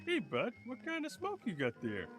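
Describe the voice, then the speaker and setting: A man's voice: very high-pitched, wildly swooping pitch, moderate pace, music in the background, heavily acted. A man playing a gee-whiz, enthusiastic pal in an old-fashioned radio commercial. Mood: eager, delighted. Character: gee-whiz eager pal in vintage cigarette ad. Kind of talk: 1940s radio ad acting.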